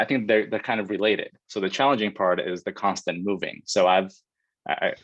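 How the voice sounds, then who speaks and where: A man's voice: low voice, no room sound, dull audio. A man in his thirties, a Foreign Service officer speaking as a guest on a video call, answering questions in a hesitant way with many restarts. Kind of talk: casual panel Q&A answers.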